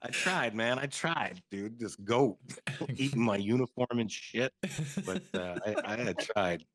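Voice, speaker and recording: A man's voice: mid-range voice, lively intonation, measured pace, fairly bright tone, no echo. A man from Canada, a former soldier, telling stories on a podcast in a laid-back way, laughing often and swearing casually.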